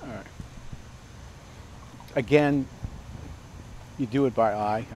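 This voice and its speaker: A man's voice: mid-range voice, slow pace, a little mellow, slight background noise, poor audio. An older man hosting a casual outdoor cooking demonstration, chatty and rambling with many fillers and restarts. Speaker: cooking show host. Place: outdoor backyard by a fence. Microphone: lapel mic.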